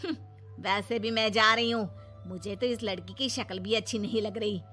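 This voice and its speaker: A woman's voice: medium pitch, steady tone, moderate pace, sharp tone, soft background music, close to an everyday voice. A woman voicing a blunt, bossy older mother-in-law in a scolding, dramatic cartoon manner. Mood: indignant, hurt. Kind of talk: Hindi cartoon moral story dialogue.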